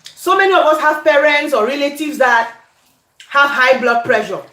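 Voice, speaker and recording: A woman with a Cameroonian accent and a high voice talks in an echoey kitchen. She is hosting a casual cooking chat, speaking to viewers in an animated, conversational way and often repeating herself for emphasis. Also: moderate pace. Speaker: cooking vlogger. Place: indoor home kitchen. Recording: echoey room.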